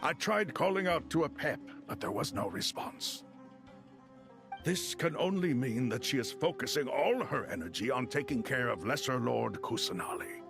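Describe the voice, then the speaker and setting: A man playing a solemn, learned desert god in a video game, speaking formally in a gravelly, measured way. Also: medium pitch, big pitch swings, over music. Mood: solemn, reflective. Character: solemn, learned male desert god loyal to his king.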